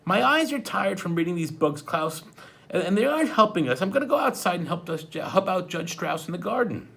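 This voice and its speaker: A doubtful young girl from a storybook, voiced by a man. The pitch is lifted and very varied. She protests wearily and hesitantly. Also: sing-song, brisk, creaky, fairly bright tone, husky, exaggerated voice. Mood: weary. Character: weary, doubtful older sister, young girl.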